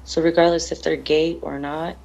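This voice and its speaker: A woman's voice: low voice, flat delivery, moderate pace, creaky, a little mellow, muffled recording. A grieving woman answering interview questions in an earnest, emotional, plain-spoken way, often repeating her phrases. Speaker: bereaved mother being interviewed.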